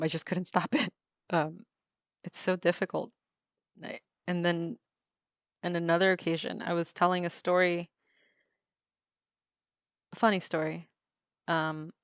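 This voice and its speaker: A woman with a slightly low voice chats away while she draws on a livestream. She laughs easily and keeps pausing on ums and half-finished words. Her voice is close to the mic and dry, with no room sound. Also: no room sound. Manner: chatty, laughs often, many ums and restarts. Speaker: livestreamer drawing on a tablet. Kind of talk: casual chat while drawing.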